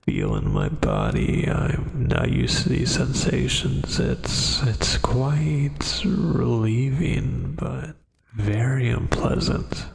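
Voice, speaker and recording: A man voicing a brooding, menacing yet hesitant werewolf in an ASMR roleplay, with a gravelly, breathy, half-whispered voice and halting delivery. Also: medium pitch, very animated, noisy background, heavily acted. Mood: guarded, softening. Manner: slow, halting, brooding, with long pauses. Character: dominant male werewolf, menacing yet hesitant, fighting hungry cravings.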